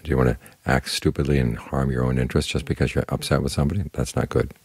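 A man giving a short spoken meditation talk as a Buddhist teacher, calm, instructive and plain-spoken. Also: extremely low pitch, fast talker, slightly rough, no room sound.

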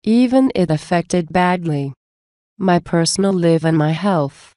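A woman reading English example phrases for a language lesson, saying many of them twice in a measured way. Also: lowish voice, warm tone, dry recording, studio quality. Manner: measured, repeats each phrase slowly. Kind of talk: slow scripted phrase reading.

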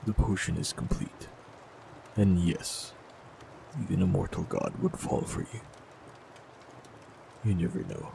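A man with a very deep, gravelly voice speaks calmly and gently to the listener. His delivery moves freely up and down. A clear hiss sits under the recording. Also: measured pace, long pauses. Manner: calm, gentle, reassuring. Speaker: warlock in an audio roleplay. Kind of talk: scripted audio roleplay, speaking to the listener.

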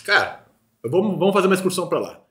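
A man's voice: high-pitched, big pitch swings, racing, a bit bright. A middle-aged Brazilian man hosting a casual food-tasting chat in slangy speech, at a desk microphone in a small studio. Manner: slangy, conversational. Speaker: host. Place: small indoor video studio at a table. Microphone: desk microphone between two people.

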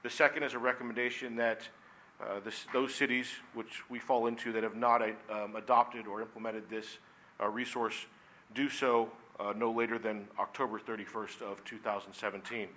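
A man's voice: fairly low pitch, level tone, moderate pace, fairly bright tone, slight background noise. A police chief in his forties giving a formal report to a city council, reading from notes with frequent "uh"s, at a desk microphone in a council chamber. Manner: formal, reads from notes with many "uh"s.

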